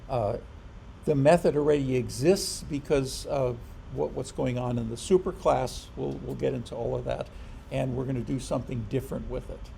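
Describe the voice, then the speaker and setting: A man gives an informal programming lecture, stopping and restarting his sentences as he goes. His voice is deeply gravelly and dark in tone. A faint hum of noise sits behind him. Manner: halting, restarts often, dry humor. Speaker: programming instructor.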